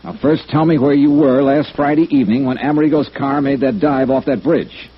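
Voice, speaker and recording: An actor playing a blunt, tough male insurance investigator, probing and brisk, in 1950s radio detective style. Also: mid-range voice, steady tone, slightly rough, natural voice. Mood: stern, probing. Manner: blunt, probing, hard-boiled. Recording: muffled old radio broadcast recording.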